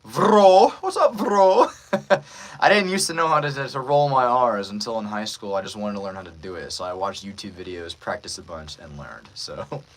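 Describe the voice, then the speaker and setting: Male livestream host, casual and playful, highly animated pitch swings, jokey rapport with chat. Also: normal speed, noisy background. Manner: playful, joking with viewers.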